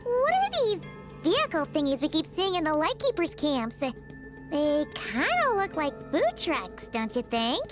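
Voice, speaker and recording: A woman's voice: high voice, big pitch swings, measured pace, music in the background. A woman voicing a small, cute, childlike fairy companion in a video game, chirpy and curious. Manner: exclaims, refers to herself in third person. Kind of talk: video game character dialogue.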